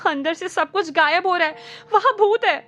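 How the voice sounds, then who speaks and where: A flustered male boss who barks orders and then yelps in panic, his voice jumping high and loud, as a woman narrator puts him on. His speech is mixed with English words. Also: normal speed. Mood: panicked. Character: harried adult male office boss.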